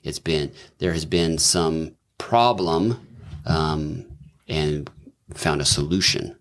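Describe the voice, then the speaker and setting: A man's voice: extremely low pitch, slow pace, a bit bright. A middle-aged man, a doctor and businessman, talking as a podcast guest in a thoughtful, rambling way with many fillers and restarts. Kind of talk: casual conversational interview.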